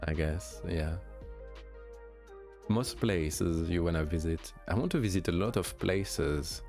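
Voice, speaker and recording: A young man with a light French accent, a streamer chatting casually with viewers, relaxed and hesitant with many fillers and restarts. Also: deep voice, slow pace, creaky, faint music behind. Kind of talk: casual chat answering viewers.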